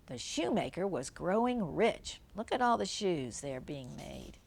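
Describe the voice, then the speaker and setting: A woman's voice: lowish voice, sing-song, measured pace, vocal fry, darkish tone, a little hiss or hum. An older woman reads a children's storybook aloud like a grandmother, adding simple, chatty explanations for a young listener.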